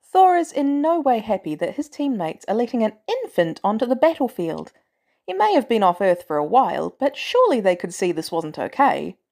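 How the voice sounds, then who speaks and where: Animated woman narrating a story. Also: on the high side, moderate pace, vocal fry. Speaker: podfic narrator.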